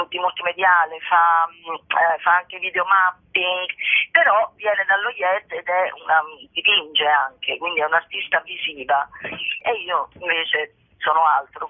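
A woman speaking casually in a phone interview as a performer, informal and playful, often thinking aloud. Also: lowish voice. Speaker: performer and playwright, interview guest. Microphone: telephone call. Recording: tinny narrow-band telephone line.

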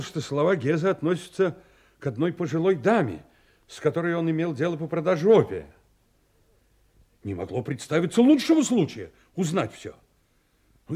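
Audio drama, male: guilt-ridden sailor making a confession, husky, slightly high, swinging widely in pitch. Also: darkish tone. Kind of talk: dramatic monologue in an audio play.

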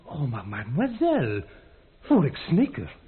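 An actor playing a playful, courtly young gentleman who teases and mocks in a radio play, heard on a tinny old recording. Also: on the high side, huge pitch swings, unhurried. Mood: amused. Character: teasing gallant young gentleman adventurer. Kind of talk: radio drama acting.

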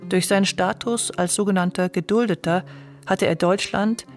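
A woman reads scripted documentary narration in a measured, literary first-person voice, her pitch sitting in an even middle range. Soft music plays quietly behind her.

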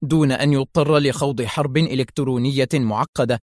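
A man's voice: medium pitch, brisk, no room sound, studio quality, natural voice. A man voicing an insistent, suspicious general in a story, emphatic and urgent. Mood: firm, convinced. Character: excitable, insistent male general, suspicious and forceful. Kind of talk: dramatised audio story dialogue.

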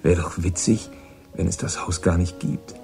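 Mellow, hesitant teenage boy. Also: sits low, fairly even intonation, normal speed, creaky, faint music behind. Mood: curious. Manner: hesitant, reserved, courteous. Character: polite, guarded eighteen-year-old American runaway boy. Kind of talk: radio play acting.

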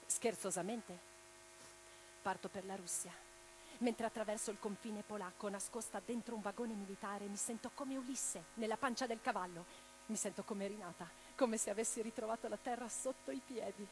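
A woman playing a passionate, idealistic revolutionary in a radio drama, declamatory and expressive. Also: medium pitch, normal speed, a little hiss or hum, close to an everyday voice, poor audio. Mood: wistful, hushed. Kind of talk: theatrical radio drama monologue and dialogue. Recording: radio play with music underneath. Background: music.

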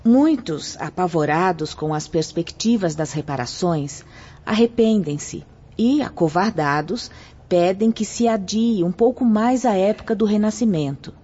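A woman's voice: mid-range voice, measured pace, warm tone, slightly rough. A woman with a Brazilian accent playing an earnest, devout spiritual sister in a radio drama, explaining formally and carefully. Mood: calm, instructive. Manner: formal, didactic, carefully phrased. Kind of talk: radio drama acting, measured exposition. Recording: dull, muffled lo-fi radio drama recording.

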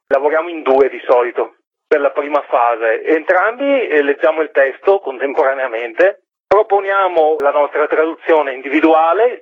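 A man's voice: a little high, slightly rough, dry recording. A man explaining his work as a guest in a radio interview over the telephone. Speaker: interviewed book translator. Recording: tinny, narrow telephone line.

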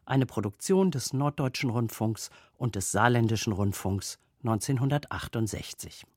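A man presenting a radio programme in a scripted, measured announcing style and putting questions to a guest. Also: medium pitch, lively intonation, no room sound, studio quality. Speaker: radio host. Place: dry broadcast studio. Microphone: close studio microphone.

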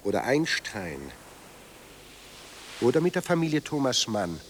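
An actor playing a sardonic, bitter dead writer, newly sober, speaking with ironic, theatrical eloquence in a radio drama. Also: male voice, medium pitch, very animated, unhurried, slight background noise. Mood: ironic, wry.

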